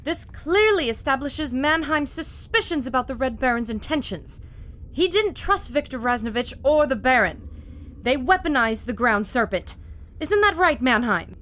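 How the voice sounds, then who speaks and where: A woman's voice: high voice, lively intonation, normal speed, fairly bright tone. An actress playing a spirited, loyal woman who argues insistently in defence of her friend in a radio adventure drama. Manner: insistent, indignant.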